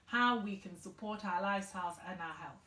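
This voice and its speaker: A woman's voice: mid-range voice, brisk, pushed and bright. A woman in her forties from Ghana, earnestly explaining health issues as a guest in a conversational interview. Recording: echoey room.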